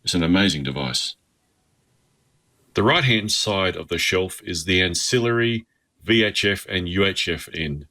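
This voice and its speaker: Aussie guy, really low voice but crisp and bright, explaining his hobby setup on an informal tour. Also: expressive, measured pace. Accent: Australian. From Australia. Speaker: amateur radio hobbyist. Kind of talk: informal instructional tour narration.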